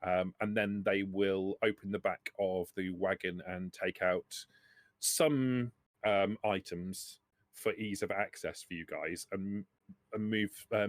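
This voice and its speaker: A man running a tabletop roleplaying game as game master, narrating off the cuff in a halting, deliberate way. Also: low voice, fairly even intonation, dry recording.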